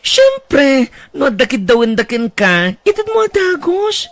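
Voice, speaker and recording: A voice actor doing a loud gossipy girlfriend, high and squealy, teasing, very lively pitch, audio drama. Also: measured pace, exaggerated voice. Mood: indignant. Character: excitable gossipy woman friend. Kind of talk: radio-style drama acting.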